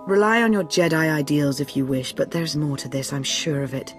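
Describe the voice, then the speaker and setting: An actress playing a shrewd, composed female strategist with a measured, formal, analytical delivery in a sci-fi video game. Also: deep voice, expressive, faint music behind. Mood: skeptical.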